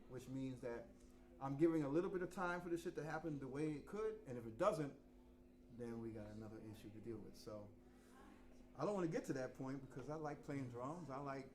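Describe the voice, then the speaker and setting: A man in his fifties from New York, talking frankly and profanely to students at a masterclass, sometimes quiet and sometimes loud. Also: fairly high pitch. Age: 50s. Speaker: visiting drummer teaching a masterclass. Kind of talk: casual talk to students. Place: rehearsal room.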